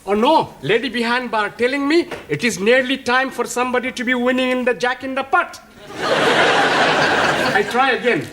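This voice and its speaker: An actor playing an excitable man stuck on a fruit machine, speaking broken English full of -ing verb forms. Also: very high-pitched, normal speed, very noisy. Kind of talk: studio sitcom acting.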